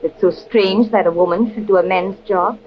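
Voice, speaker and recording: Casting: actress for femme fatale foreign agent, cool, formal, teasing, calmly dangerous, old radio serial with dull noisy sound. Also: female voice, mid-range voice, lightly acted. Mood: calm menace. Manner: formal, measured, coolly teasing. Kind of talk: old-time radio adventure acting.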